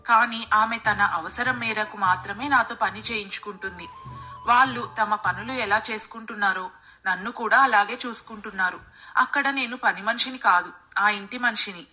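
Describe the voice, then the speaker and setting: A woman's voice: medium pitch, moderate pace, bright, forceful tone, soft background music. A woman voicing a loyal, dignified housemaid in a bedtime story, respectful, earnest and firm. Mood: calm, frank. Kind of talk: acted dialogue in a moral bedtime story.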